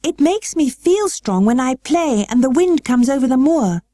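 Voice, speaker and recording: A woman's voice: high voice, animated, moderate pace, warm tone, no room sound, clean studio sound, pitched above the performer's own voice. A woman voicing a timid, earnest little girl who speaks in a hesitant, tremulous, pleading way for a storybook. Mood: earnest, nervous. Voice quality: tremulous, breathy when nervous.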